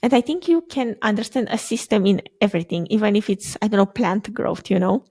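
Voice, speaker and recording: A woman's voice: medium pitch, a little fast, darkish tone, slightly rough, dry recording, clean studio sound. A young woman from Romania, a native Romanian speaker, chatting as a guest on a video-call interview, laughing often and hesitating with fillers. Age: young adult. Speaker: guest, quantum computing researcher. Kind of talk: casual interview conversation. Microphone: video call microphone.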